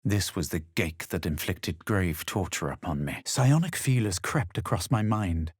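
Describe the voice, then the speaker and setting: An actor playing a self-assured, haughty fantasy adventurer in a video game, husky and dramatic in delivery. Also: male voice, low voice, expressive, dry recording, clean studio sound, full character voice. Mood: grave, measured. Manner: measured, dramatic, superior. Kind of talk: scripted game character lines, first-person narration and spell incantations.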